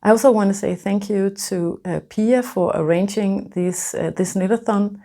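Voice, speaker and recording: A woman's voice: medium pitch, moderate pace, mellow. A Danish woman in her fifties with a Danish accent, hosting a knitting vlog in English, nervous and hesitant with many restarts. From Denmark. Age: 50s. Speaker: knitting podcaster. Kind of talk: casual vlog presentation.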